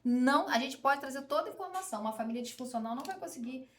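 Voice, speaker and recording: A woman's voice: high-pitched, expressive, rapid-fire. Earnest woman with a roomy echo. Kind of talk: conversational advice.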